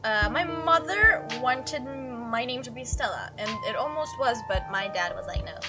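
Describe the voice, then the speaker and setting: A woman's voice: high-pitched, lively intonation, a little slow, over loud music. A woman doing a let's play, reading game text aloud and reacting in a chatty, playful way. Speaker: video game streamer doing a playthrough.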